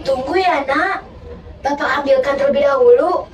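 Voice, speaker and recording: A woman's voice: high voice, normal speed, far from the mic, a faint crowd, close to an everyday voice. A woman voicing a sly male village cleric and shopkeeper in a dramatic story, with a condescending, falsely kindly manner. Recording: echoey room from afar, background music.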